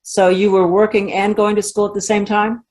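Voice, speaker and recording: A woman's voice: mid-range voice, little pitch movement, normal speed, a little mellow. A woman from Texas hosting a talk show, asking her guest questions in a conversational way. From Texas, USA. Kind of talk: conversational interviewing.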